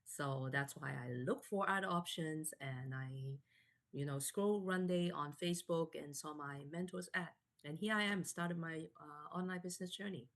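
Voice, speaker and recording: A woman in her forties from Canada, a guest in a live online interview, telling her story softly with many fillers and restarts. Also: deep voice, normal speed.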